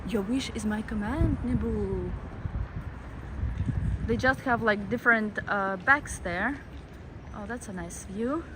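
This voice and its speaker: A woman's voice: medium pitch, takes it slowly, long pauses. A woman hosting a live-stream walking tour, chatting casually to her viewers while walking outdoors in a city. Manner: chatty, reads out chat comments. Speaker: travel vlogger. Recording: street noise and traffic behind.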